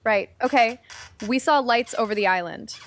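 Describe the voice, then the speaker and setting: A woman's voice: on the high side, normal speed, a bit bright, close to an everyday voice. An actress playing an eager, headstrong teenage girl in an audio drama, emphatic and cheeky. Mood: earnest, excitable.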